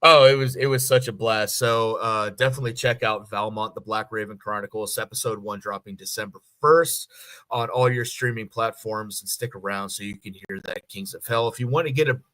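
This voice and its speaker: Bright-voiced man chatting, low and close. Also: fairly even intonation, brisk, no room sound. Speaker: voice actor guest. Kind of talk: casual podcast conversation.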